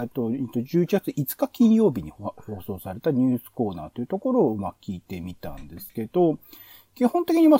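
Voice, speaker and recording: A man hosting a podcast in casual, analytical chat, often chiming in with quick agreement. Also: mid-range voice, mellow, slightly rough, dry recording. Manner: frequent agreeing backchannels. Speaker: podcast host reviewing radio shows.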